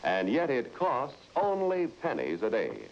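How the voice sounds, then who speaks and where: A man delivering an emphatic vintage advertising pitch as a commercial announcer, on a muffled, noisy old recording. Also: wildly swooping pitch, a little slow, a little mellow.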